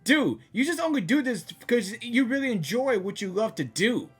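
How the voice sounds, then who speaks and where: Excitable, high-pitched woman reacting to a game. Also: normal speed, slightly rough, soft background music. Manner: exclamatory, repetitive, slangy. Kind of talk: excited live reaction commentary.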